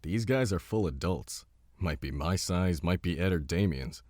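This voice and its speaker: An actor playing a gruff, crude, cocky war-veteran gunman who talks bluntly and boastfully in an audio drama. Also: low-pitched, animated, moderate pace, a little mellow, dry recording, clean studio sound. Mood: grim, blunt.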